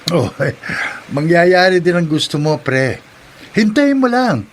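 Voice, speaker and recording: An actor playing a chummy, supportive friend in a radio drama, casual and encouraging, with a Filipino accent. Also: male voice, on the high side, big pitch swings, unhurried. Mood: cheerful, encouraging. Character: chummy, supportive male friend of young husband.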